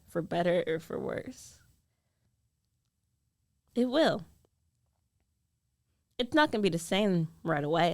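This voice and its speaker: A woman acts out a young female roommate in love, jealous and guarded but tender underneath. Her voice has a noticeable creaky fry and sits very close and dry. She speaks in an intimate, hesitant girlfriend ASMR style, full of feeling. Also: fairly low pitch, no echo. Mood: upset, guarded, then wary, resigned. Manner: hesitant, emotional, intimate delivery with trailing pauses.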